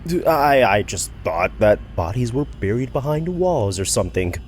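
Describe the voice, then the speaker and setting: Man as a very animated, jokey young guy. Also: mid-range voice, normal speed, a little hiss or hum, lightly acted. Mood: nervous. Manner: joking, hesitant. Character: jokey, slightly nervous young male friend. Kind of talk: casual audio drama banter. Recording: faint ambience behind.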